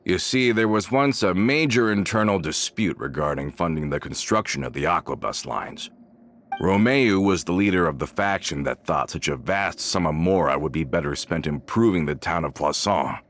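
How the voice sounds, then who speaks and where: Low-voiced, earnest loyal gangster. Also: male voice, expressive, moderate pace, fairly bright tone, slight background noise. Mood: disbelieving, troubled. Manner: earnest, emotive. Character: loyal male gang veteran, shaken by loss. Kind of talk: video game voice acting.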